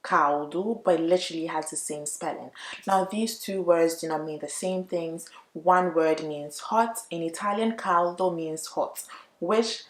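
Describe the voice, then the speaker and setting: A woman who speaks English natively, chatting casually to viewers as she explains language points, expressive and emphatic, repeating words for emphasis. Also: on the low side, unhurried. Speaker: YouTube language vlogger. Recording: roomy, echoey room.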